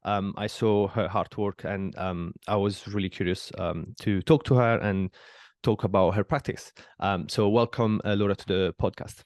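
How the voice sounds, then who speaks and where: A man's voice: lowish voice, fairly even intonation, normal speed, a little mellow, no room sound, studio quality. A man from southern Italy, hosting a casual podcast interview and talking with many fillers and restarts. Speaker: podcast host.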